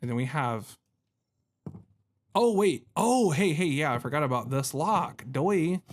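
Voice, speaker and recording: A man's voice: fairly high pitch, lively intonation, measured pace. A man giving casual let's-play commentary as a gaming host, talking to himself and poking fun at his own fumbles. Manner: self-deprecating, talks to himself while puzzling.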